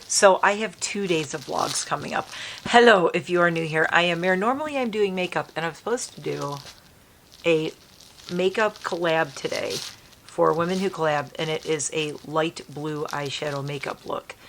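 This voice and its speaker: A woman chatting casually to camera from her bed, hoarse and stuffy from allergies, coughing now and then, rambling and apologetic. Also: low voice, fairly bright tone, poor audio. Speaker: vlogger. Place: bedroom at home, dim light. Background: crinkling dog toy, faint noise.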